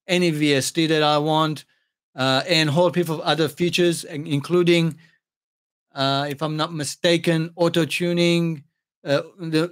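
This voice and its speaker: A man's voice: on the high side, fairly bright tone. A man with an accent, not born in Australia but living there for over thirty years, hosting a casual livestream Q&A and answering chat questions in a rambling way with many fillers. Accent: non-native English accent. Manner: rambling, many ums and restarts. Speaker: music channel host answering chat questions.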